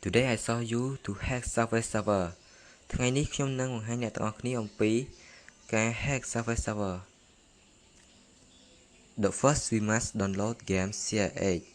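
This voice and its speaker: Low-voiced man giving halting tutorial steps. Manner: halting, plain phrasing, repeats each step in two languages. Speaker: tutorial narrator. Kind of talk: casual step-by-step tutorial voice-over.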